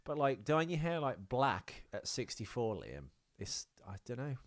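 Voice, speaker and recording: A man's voice: mid-range voice, big pitch swings, measured pace, no echo, studio quality. A man co-hosting a podcast, chatting casually about films and drifting off into rambling tangents. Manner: rambling, easily sidetracked storyteller. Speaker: podcast co-host. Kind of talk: casual film trivia chat.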